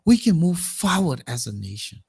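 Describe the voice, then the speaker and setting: A man's voice: on the high side, big pitch swings, normal speed, creaky, a little mellow, slightly rough. Emphatic Liberian man hosting a talk show. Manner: emphatic, repeats words when building a point. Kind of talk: current affairs discussion.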